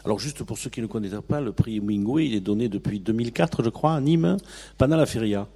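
A man interviewing an author, friendly and quick with short affirming interjections. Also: fairly low pitch, mellow, husky. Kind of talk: radio interview.

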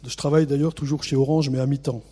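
A middle-aged man from the Vosges with a local accent, an author answering interview questions in a relaxed, self-mocking way with many hesitations. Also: mid-range voice, quick pace. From Vosges, France. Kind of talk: radio interview.

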